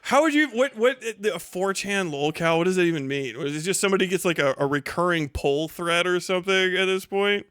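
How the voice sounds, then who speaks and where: A man in his thirties ranting casually to a livestream chat, swearing freely in a mocking, animated way. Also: sits high, brisk, hardly any pauses, clear, resonant tone, no echo, clean studio sound.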